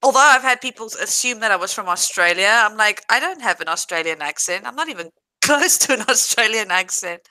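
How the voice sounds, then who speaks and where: A woman's voice: medium pitch, animated, a little fast, few pauses, sharp tone, no echo, rough recording. A woman with a South African accent chatting casually on a livestream, laughing easily and answering viewers directly. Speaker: livestream host. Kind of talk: casual livestream chat reading viewer comments.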